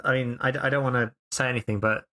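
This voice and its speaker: A man's voice: mid-range voice, fairly even intonation, fast talker, bright, forceful tone. A man playing in a tabletop role-playing game, bantering casually with the group. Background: music under the voice.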